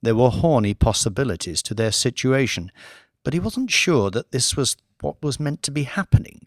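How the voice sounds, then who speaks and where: A man's voice: medium pitch, expressive, normal speed, no echo. A man reading dramatic scripted audiobook narration into a close mic.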